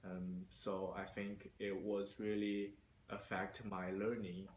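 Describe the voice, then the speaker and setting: A man from China gives interview answers at an unhurried pace, pausing often on fillers. His voice sits low and stays flat. It is recorded in an echoey room with faint noise behind him. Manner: slow, hesitant, many fillers. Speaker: university student.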